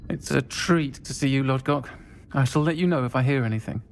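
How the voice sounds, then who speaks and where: A man's voice: mid-range voice, a little fast, a little hiss or hum, natural voice. An actor with a man's voice playing an unflappable pub landlady in a game, assured and dry. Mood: friendly. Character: welcoming, unflappable pub landlady witch, protective and firm.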